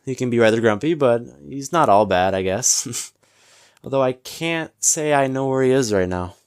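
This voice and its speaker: A man playing a smug, flirtatious businessman in an audio roleplay, teasing and smooth-talking with mock politeness. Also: mid-range voice, lively intonation, moderate pace, dry recording, studio quality, natural voice. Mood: flirtatious, playful. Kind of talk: scripted audio roleplay acting.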